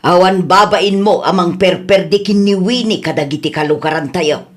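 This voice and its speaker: A scolding village mother played by a woman with a fairly low voice and an Ilocano accent. She is blunt and reproachful, nagging in the manner of radio comedy drama. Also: normal speed, natural voice.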